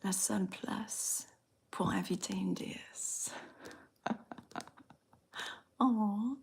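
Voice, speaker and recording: A woman from the Southern US with a slight Southern accent, softly whispering in an unhurried, drawn-out way as she chats with viewers in an ASMR stream. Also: mid-range voice, level tone, creaky. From Southern United States. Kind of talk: ASMR whispered live chat.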